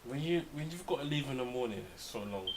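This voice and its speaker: A man's voice: mid-range voice, fast. A young man, telling stories and making jokes in a casual chat with friends. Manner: animated storyteller, joking. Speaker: podcast co-host.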